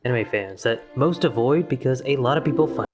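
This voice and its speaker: A man reads a scripted explainer in a steady, measured voice of middle pitch. Soft music runs under the narration. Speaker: video narrator.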